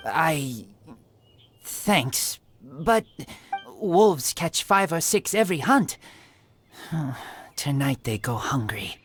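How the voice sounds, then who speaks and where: A man voicing a young wild boy raised by wolves in a video game. He speaks in halting, broken, simple sentences and sounds terse and earnest. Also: a little high, very animated, breathy edge, exaggerated voice. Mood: quietly disappointed. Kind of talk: video game character acting. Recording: loud game music behind.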